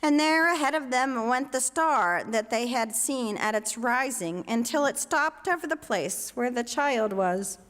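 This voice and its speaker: A woman reads scripture to a congregation in a solemn, measured and deliberate way. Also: on the high side, animated, a bit bright. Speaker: scripture reader at a church service. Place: inside a church sanctuary. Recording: long reverberant church hall.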